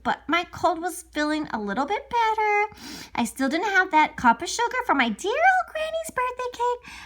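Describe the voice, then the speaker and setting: A woman's voice: high-pitched, a bit bright, heavily acted. A woman voicing a chatty, self-excusing male big bad wolf who tells a children's storybook in character, full of rambling asides and sly mock innocence. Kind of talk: animated read-aloud children's storybook, told in character.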